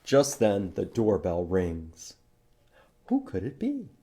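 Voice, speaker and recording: A man leads a children's storytime at a slow, unhurried pace. His pitch moves around a great deal as he reads aloud and puts simple questions to young listeners. The delivery is expressive and easy to follow. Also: background noise. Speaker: library storytime host reading to children. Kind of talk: children's storytime read-aloud and letter lesson.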